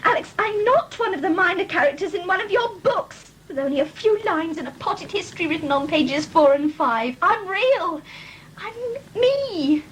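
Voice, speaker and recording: A woman's voice: extremely high pitch, animated, normal speed, fairly bright tone, a little hiss or hum, pitched above the performer's own voice, rough recording. A woman playing a hurt, pleading lover in a serious kitchen-sink audio drama.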